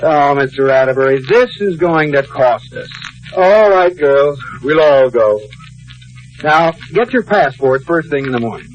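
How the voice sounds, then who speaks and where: An American actor playing an earnest, exasperated banker husband in 1950s radio comedy, emphatic in delivery. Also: male voice, fairly high pitch, lively intonation, a little slow, muffled recording. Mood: grudging.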